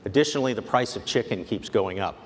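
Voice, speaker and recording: A man in his forties, a company executive giving a prepared keynote speech on a large convention stage, measured and persuasive. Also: on the low side. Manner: measured, earnest, persuasive delivery. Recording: long hall reverb, faint background noise.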